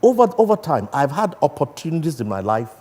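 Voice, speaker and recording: A middle-aged man from Nigeria, a talk show guest, speaking in a measured, firm way. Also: on the high side, big pitch swings, a little mellow. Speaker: studio guest. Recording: long reverb in a big room.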